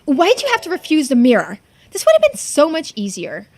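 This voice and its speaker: A woman's voice: sits high, wildly swooping pitch, brisk, voice pushed up, exaggerated voice. A woman voicing a scheming female video game villain who feigns sweet innocence, in a taunting, coy manner. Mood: peeved. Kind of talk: video game character dialogue read-aloud. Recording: music behind the voice.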